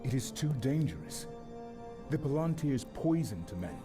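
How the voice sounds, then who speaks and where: A man's voice: mid-range voice, sing-song, normal speed, close to an everyday voice. An actor playing a stern, wary male guardian in a fantasy video game, grave and formal. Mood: grave warning. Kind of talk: dramatic fantasy video game cutscene acting. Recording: loud orchestral game music behind.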